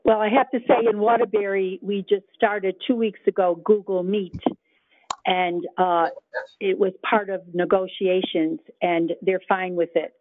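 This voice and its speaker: A man's voice: high-pitched, little pitch movement, unhurried, narrow, tinny audio. A man giving a plain report to an online meeting over thin call audio.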